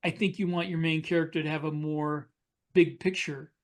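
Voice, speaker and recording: A man's voice: fairly high pitch, fairly even intonation, moderate pace. A man co-hosting a podcast, talking casually in a panel discussion. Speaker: podcast co-host.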